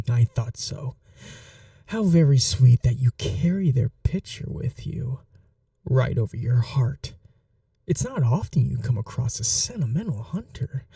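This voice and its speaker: A man playing a possessive, sadistic vampire lord in an ASMR roleplay, courtly, theatrical and taunting, with breathy drops into whispers. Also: mid-range voice, dark tone, no echo, distant mic, full character voice. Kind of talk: dominant ASMR roleplay acting.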